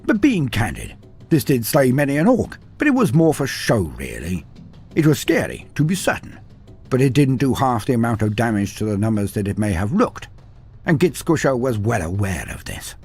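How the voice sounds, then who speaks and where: A man's voice: medium pitch, wildly swooping pitch, normal speed, a little mellow, husky, faint music behind. A man giving scripted dramatic narration of fantasy war lore in a theatrical, wry storybook manner.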